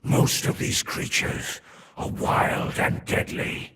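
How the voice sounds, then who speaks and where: A man playing an ancient inhuman overlord in a video game, cold and haughty, speaking in a solemn, menacing, breathy rasp. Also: a bit bright. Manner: solemn, imperious, ominous, deliberate delivery. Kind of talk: scripted video game lore narration. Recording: echoing voice with faint background noise.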